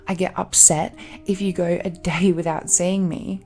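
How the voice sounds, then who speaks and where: A woman playing a possessive, adoring dragon-blooded fiancée in an intimate romantic audio roleplay, halting and emotional, with sighs and murmurs. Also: on the low side, flat delivery, faint music behind. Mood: remorseful, frustrated. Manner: breathy sighs, halting, emotional delivery with murmurs and soft laughs.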